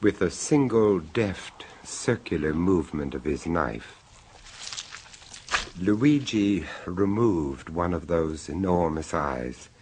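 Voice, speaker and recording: An actor playing a polite, squeamish male dinner guest who narrates the story, in a measured, hesitant radio drama manner. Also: deep voice, expressive, a little mellow, a little hiss or hum. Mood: ominous. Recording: old radio broadcast.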